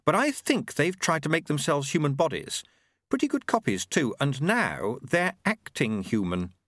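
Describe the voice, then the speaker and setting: A man voicing a brisk, knowing, sardonic woman in an audiobook, with a dry, sarcastic manner. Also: fairly high pitch, sing-song, a bit bright, no echo, pitched above the performer's own voice. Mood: glum, dry. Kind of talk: audiobook character acting.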